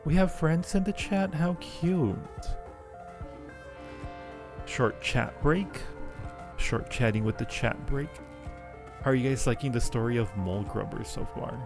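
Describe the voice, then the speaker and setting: A man's voice: medium pitch, unhurried, vocal fry, long pauses. A man hosting a livestream in casual chat, playful and fond of repeating his phrases, with faint music behind him. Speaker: livestream host.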